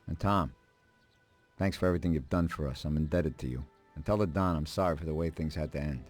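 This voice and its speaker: A man's voice: a bass voice, fast talker, darkish tone, soft background music. An actor playing an anxious, grateful family man and mob bookkeeper in a video game, speaking earnestly and politely. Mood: grateful, solemn. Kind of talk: dramatic video game dialogue.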